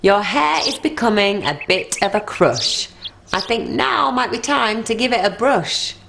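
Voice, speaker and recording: A woman's voice: medium pitch, lively intonation, a little slow, vocal fry, a bit bright, slight background noise, voice pushed up. A woman voicing a worried, exasperated mother in a children's storybook, fussing and scolding with emphasis. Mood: coaxing.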